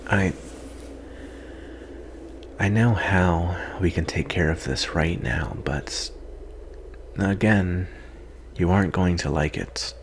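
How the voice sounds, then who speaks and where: A man playing a guarded, weary yet secretly tender vampire in an intimate ASMR roleplay, soft-spoken with halting pauses. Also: low-pitched, slight background noise. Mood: gentle, hesitant.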